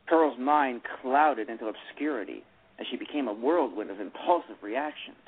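Husky man reading a novel aloud. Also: medium pitch, expressive, normal speed. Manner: reads steadily, with frequent self-corrections. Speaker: narrator. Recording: tinny phone-line radio.

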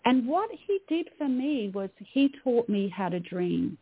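An Australian woman, a mindset coach, telling her story earnestly in a podcast interview, with frequent "um" pauses. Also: a little high, very animated, breathy edge. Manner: earnest, reflective, frequent "um" fillers. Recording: tinny phone-like line, dry.

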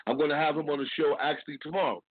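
Tinny-sounding male radio DJ, slightly high voice. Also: steady tone, very fast, dry recording. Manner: informal, repeats catchphrases and tag questions. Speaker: radio show host and DJ. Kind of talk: casual radio show shout-outs and plugs. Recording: tinny phone-like radio audio, faint music.

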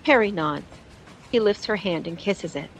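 A woman's voice: on the low side, moderate pace, a little hiss or hum, poor audio. A woman narrating a scripted audio drama in a descriptive storytelling manner.

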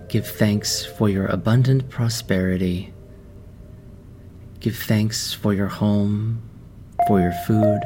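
A man with a fairly low voice leads a guided meditation over clear background music. He speaks very slowly and gently, leaving long pauses between soothing instructions.

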